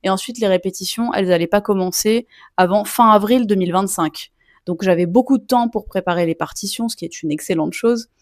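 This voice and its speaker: A woman's voice: medium pitch, fairly quick, a little mellow, no room sound, studio quality. A young woman, a mezzo-soprano student, telling a personal story to camera in a chatty way with lots of asides and fillers. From Sicilian heritage.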